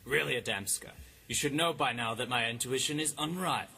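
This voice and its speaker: A man playing a self-important, deadpan hard-boiled detective in 1950s radio drama style. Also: medium pitch, brisk, creaky, background noise, natural voice. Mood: smug, deadpan. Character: cocky, melodramatic male hard-boiled detective, absurdly sure of himself. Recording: music behind the voice.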